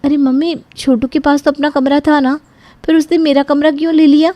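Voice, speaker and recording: A woman's voice: high voice, level tone, a little fast, warm tone, dry recording, pitched above the performer's own voice, natural voice. A woman voicing a young married daughter in a cartoon story, polite but easily wounded and given to complaint. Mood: puzzled, protesting.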